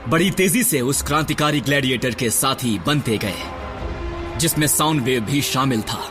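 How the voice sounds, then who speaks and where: Guy doing grumpy old robot doc telling old war history, mid voice, loud music under it. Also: mid-range voice, lively intonation, lightly acted. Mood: solemn. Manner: measured, lecturing, somber. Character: grizzled old male robot medic, stern and weary. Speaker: storyteller recounting history. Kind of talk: Hindi cartoon dub. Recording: studio dub with loud score.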